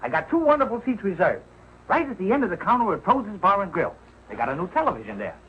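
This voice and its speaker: An actor playing a cocky, needling working-class pal with a pushy manner in a 1940s television sitcom. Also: male voice, high-pitched, very animated, fast. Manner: pushy, mocking, ungrammatical. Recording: muffled, noisy old television soundtrack.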